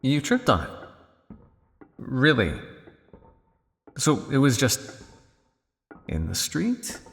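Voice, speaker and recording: A man playing a cynical, suave vampire scientist in an ASMR roleplay, teasing and condescending with theatrical, drawling pauses. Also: mid-range voice, wildly swooping pitch, creaky, a bit bright, long reverb tail, soft background music, heavily acted. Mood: probing, wry.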